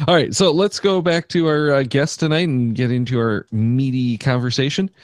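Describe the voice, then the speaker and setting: A man's voice: mid-range voice, fairly even intonation, normal speed, no room sound, clean studio sound. A man hosting a podcast in casual chat, talking loosely with many fillers and restarts. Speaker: podcast host.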